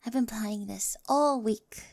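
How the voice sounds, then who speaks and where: A woman's voice: fairly high pitch, unhurried, gravelly, a little mellow, dry recording, studio quality. A woman in an ASMR roleplay, playing a quirky, awkward teenage girl with a crush who rambles nervously and stammers to the listener. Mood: excited, playful. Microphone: close microphone, dry.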